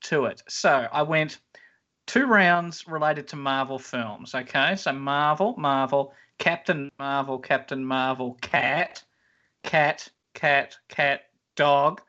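Nasal, bright-voiced male podcast host. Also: medium pitch. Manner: playful, drawn-out announcing. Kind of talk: comedy podcast banter. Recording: lo-fi podcast audio.

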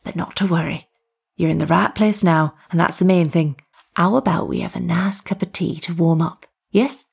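A woman voicing a kindly, eccentric village tea lady in storybook dialogue, chirpy, motherly and gently questioning. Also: on the low side, moderate pace, vocal fry, husky, full character voice.

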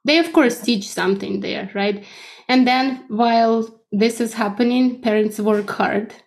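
A woman's voice: on the high side, steady tone, measured pace. Russian-accented woman explaining in an interview. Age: 30s. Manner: explanatory, often checks with "right?". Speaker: podcast guest. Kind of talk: conversational interview answers.

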